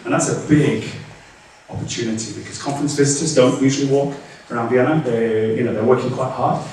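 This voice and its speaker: A man's voice: mid-range voice, steady tone, brisk, darkish tone, a little hiss or hum. A man, a guest speaker, answering audience questions after a talk, hesitant with many restarts and fillers. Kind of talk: Q&A after a lecture. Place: lecture room. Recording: echoey room from far off.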